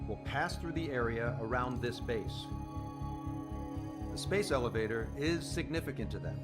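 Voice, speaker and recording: An actor playing a military officer who gives a video game mission briefing in a measured, matter-of-fact way. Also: male voice, medium pitch, lively intonation, loud music behind the voice, lightly acted. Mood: grave, businesslike. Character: businesslike male military officer giving briefing.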